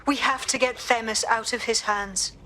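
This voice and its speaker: A tough, determined woman of the resistance in a game cutscene. Her voice is sharp and somewhat high, over faint ambience. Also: steady tone, normal speed, a little hiss or hum. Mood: grim, urgent. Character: tough, resolute female resistance fighter. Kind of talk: video game cutscene acting.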